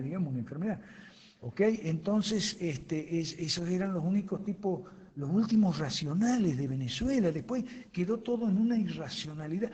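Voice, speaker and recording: A man's voice: sits high, darkish tone, slightly rough. A man teaching an online class in a heated, emphatic, digressive way over a voice-chat app. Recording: voice chat with long reverb.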